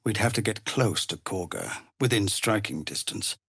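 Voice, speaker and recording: An actor playing a weary, honourable middle-aged male tiefling soldier in a fantasy game, speaking in an earnest, formal, measured way. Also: on the low side, expressive, a bit bright, breathy edge, no room sound, studio quality, heavily acted. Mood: grave, resolute. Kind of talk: dramatic fantasy game dialogue.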